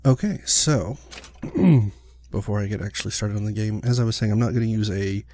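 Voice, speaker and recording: A man hosting a casual gameplay video, talking in a rambling, relaxed way with lots of fillers while getting over an illness. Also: fairly low pitch, normal speed. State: recovering from illness, sniffly, clearing his throat. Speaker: gaming channel host. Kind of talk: casual let's-play commentary.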